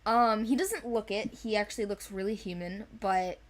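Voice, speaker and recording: A woman's voice: mid-range voice, normal speed. A woman chatting casually as a co-host, with a playful, informal manner, talking through fictional characters. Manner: giggly, playful, informal, acting out quotes. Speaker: co-host presenting an animated series project's characters. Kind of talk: casual chat.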